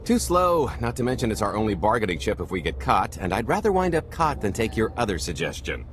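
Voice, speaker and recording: A man's voice: on the low side, very animated, a little fast, slight background noise. A man voicing a roguish middle-aged smuggler in a video game, wry, breezy and glib. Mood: urgent, brisk. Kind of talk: video game cutscene acting.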